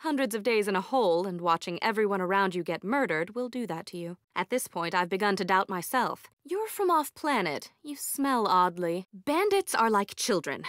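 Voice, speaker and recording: Game role, woman performer: eccentric female scientist, socially awkward and haughty, curt delivery, very lively intonation, dry close studio sound. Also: mid-range voice, normal speed, few pauses, no room sound, close to an everyday voice. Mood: jaded. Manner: curt, formal, condescending. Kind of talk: video game character voice lines.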